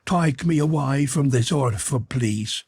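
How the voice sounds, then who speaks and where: Give the adventure audiobook a frightened sailor as acted by a male narrator. He is superstitious and pleading, wailing his words in a raised, husky voice with very little room around it. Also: mid-range voice, measured pace, darkish tone, dry recording, clean studio sound, voice pushed up. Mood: moaning, pleading. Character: terrified superstitious sailor, moaning and insisting. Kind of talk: audiobook character voice.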